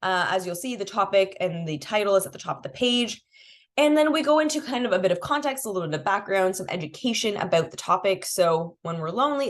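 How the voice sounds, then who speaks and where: A young adult woman, a bit congested and sniffly, walking viewers through a resource toolkit in a casual, chatty way with many fillers. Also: medium pitch. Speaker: programs manager presenting a resource toolkit. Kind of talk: casual screen-share walkthrough.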